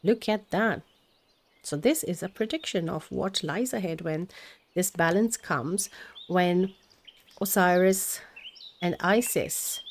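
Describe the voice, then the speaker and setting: A woman whose first language is Hindi gives a spiritual tarot reading, speaking gently and meandering with fillers and restarts. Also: mid-range voice. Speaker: tarot reader.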